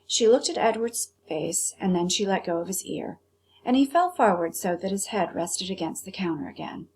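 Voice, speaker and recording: A woman's voice: mid-range voice, lively intonation, moderate pace, darkish tone, breathy edge. A woman teacher narrating a children's novel as a read-aloud.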